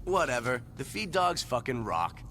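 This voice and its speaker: Brash, animated rocker dude. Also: male voice, medium pitch, normal speed. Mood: cocky, casual. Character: brash, laid-back male rocker tattoo artist. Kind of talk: video game cutscene acting. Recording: faint background noise.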